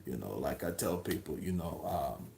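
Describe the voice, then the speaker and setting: A man doing a soft-spoken motivational boxer. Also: a bass voice, animated, moderate pace, vocal fry, noisy background, voice pushed down, heavily acted. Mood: earnest.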